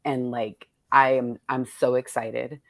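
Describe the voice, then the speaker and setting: A woman with a strikingly low voice and an American accent, recorded dry and close to silent in room sound. She hosts in a loose, unscripted style, effusive, slangy and freely profane. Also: level tone, takes it slowly, no echo. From born in Texas, United States. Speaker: host of a poets' table reading. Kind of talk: casual, unscripted conversation with fellow poets.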